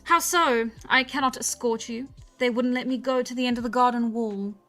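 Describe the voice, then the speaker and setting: A woman's voice: on the high side, moderate pace, a bit bright, faint music behind, close to an everyday voice. A woman voicing a scornful young witchy wife in a storybook, haughty, mocking and threatening. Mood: cool disdain. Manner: haughty, mock-malignant.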